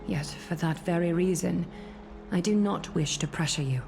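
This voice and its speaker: A solemn woman who leads heroes in a fantasy game. Her voice sits a little low and her delivery is composed, formal and regal. Music is clearly heard underneath. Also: moderate pace. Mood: grave. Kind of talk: scripted fantasy game dialogue.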